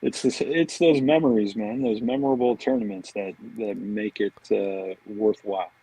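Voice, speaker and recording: A man's voice: on the low side, expressive, normal speed, creaky, mellow, breathy edge, no echo, rough recording. A man chatting casually as a podcast guest, talking in an informal, laid-back buddy manner. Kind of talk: casual chat.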